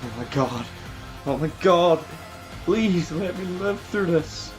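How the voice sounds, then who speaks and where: A man's voice: a little high, lively intonation, takes it slowly, over loud music. A man as a whiny, bratty teenage villain. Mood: terrified. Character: cowardly, bratty teenage son of gangster, sneering. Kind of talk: comic book dub acting.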